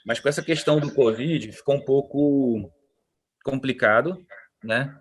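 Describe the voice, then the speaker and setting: Brazilian researcher guest, relaxed, slangy, chatty, heard over a video call. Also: male voice, mid-range voice, a little slow, breathy edge. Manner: chatty, slangy, full of tag questions. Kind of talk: casual video-call interview. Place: outdoors at home, moving inside.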